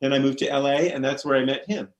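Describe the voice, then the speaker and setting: A man who grew up in Oregon, a bookstore co-owner, answering interview questions thoughtfully with many pauses. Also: mid-range voice, steady tone. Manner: thoughtful, hesitant, many ums. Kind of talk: casual interview chat.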